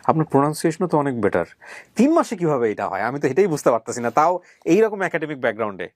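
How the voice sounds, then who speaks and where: A man's voice: on the high side, very animated, fast talker, dry recording. Emphatic Bangladeshi man hosting, dry close sound. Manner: emphatic, mixes English words into speech. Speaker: interview host. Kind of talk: conversational interviewing and addressing viewers.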